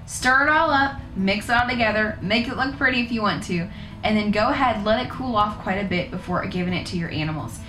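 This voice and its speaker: A woman's voice: medium pitch, pushed and bright. Woman, 30s, homestead video host, casual and chatty; roomy living-room sound, background music. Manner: chatty, conversational, with fillers and restarts. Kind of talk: casual how-to talk to camera. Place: living room, seated on a couch.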